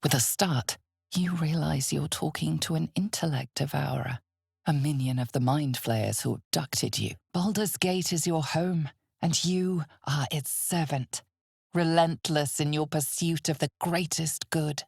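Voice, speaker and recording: A woman playing an ominous, knowing narrator of a dark fantasy game, speaking in a measured, theatrical way that turns hushed and breathy at times. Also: low voice, no room sound, clean studio sound, heavily acted. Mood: measured, intrigued.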